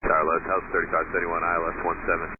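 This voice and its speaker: A man's voice: sits low, flat delivery, very fast. A man speaking as an airline pilot in clipped radio readbacks over an aircraft radio. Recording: noisy, narrowband aircraft radio transmission.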